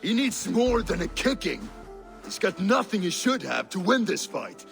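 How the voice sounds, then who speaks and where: An actor playing a world-weary, cynical Danish steward with a sardonic drawl in a video game scene. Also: male voice, sits high, big pitch swings, normal speed, gravelly, a bit bright, faint music behind, exaggerated voice. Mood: disdainful, weary. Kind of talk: dramatic video game acting.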